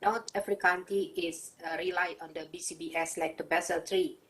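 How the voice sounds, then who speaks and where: A woman's voice: on the low side, fairly even intonation, a little fast, fairly bright tone, echoey room, low-quality recording. A woman, answering questions as a webinar panelist, hesitant with many fillers and restarts.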